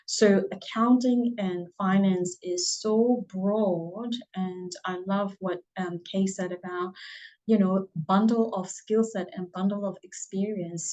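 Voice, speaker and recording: A woman from Burma, not an English speaker, tells her career story on a panel, earnest and warm, with many ums. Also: mid-range voice, rough recording. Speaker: panel speaker, bank manager.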